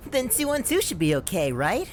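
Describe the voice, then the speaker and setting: A woman's voice: mid-range voice, wildly swooping pitch, normal speed, a little hiss or hum. A woman playing a tiny, androgynous talking cat spirit with a breezy, teasing manner in an audio drama dub. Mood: playful, bashful.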